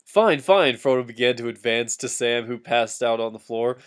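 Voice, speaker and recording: A man's voice: mid-range voice, monotone, normal speed, clear, resonant tone. A man doing a comic dramatic reading of fan fiction, giggly and often breaking off to heckle the text with asides.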